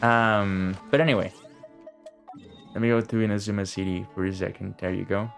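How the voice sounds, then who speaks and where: A man's voice: deep voice, fairly even intonation, moderate pace, creaky. A man giving casual live game commentary as a streamer, informal and rambling, with playful asides. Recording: game music behind the voice.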